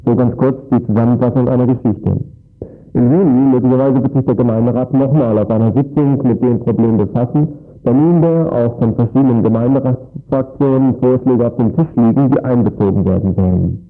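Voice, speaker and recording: A man's voice: mid-range voice, fairly even intonation, fairly quick. Male radio reporter, tinny narrow sound. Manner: formal, factual. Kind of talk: scripted news background report. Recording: tinny phone-like radio recording with music. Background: music.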